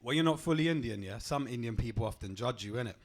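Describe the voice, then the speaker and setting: A young man performs stand-up on a club stage. His delivery is deadpan and punchy, landing each line cleanly at a middling pitch. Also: fast. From England. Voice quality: deep voice. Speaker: stand-up comedian. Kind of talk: stand-up comedy set.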